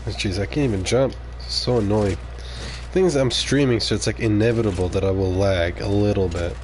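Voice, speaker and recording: A man's voice: lowish voice, brisk, a little mellow, a little hiss or hum. A man whose first language is French, streaming live and talking casually while he plays, reacting aloud and muttering asides to viewers. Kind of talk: live gameplay commentary.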